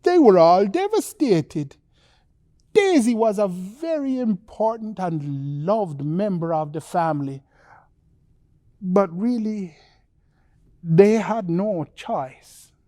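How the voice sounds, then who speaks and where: A woman telling a children's bedtime story in a theatrical, deliberate way with dramatic pauses. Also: mid-range voice, lively intonation, dark tone, no room sound, studio quality.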